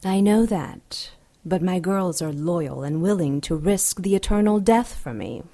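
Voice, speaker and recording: A woman's voice: on the low side, animated, measured pace, dark tone. An actress playing a seductive, commanding demon queen with a silky, teasing manner in an audio drama. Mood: assured, scheming. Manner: silky, teasing, self-assured.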